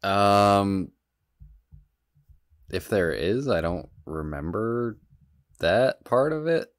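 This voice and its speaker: Man, very low voice, slow pace, hesitant, casual podcast chat. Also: lively intonation, dry recording, clean studio sound. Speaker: podcast co-host.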